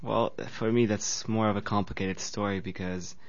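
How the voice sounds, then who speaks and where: A man's voice: on the low side, steady tone, moderate pace. A young man in his twenties from Poland with a Polish accent, a student guest talking earnestly in slightly nonstandard phrasing. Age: 20s. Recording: dull lo-fi radio recording.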